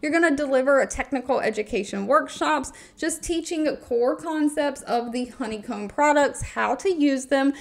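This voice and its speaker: A woman with a slightly high voice presents as a video host. Her delivery is upbeat and conversational, leaning on key words for emphasis while she narrates informally from notes. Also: gravelly. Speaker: video host presenting remote job listings. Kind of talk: informal scripted screen-recording narration.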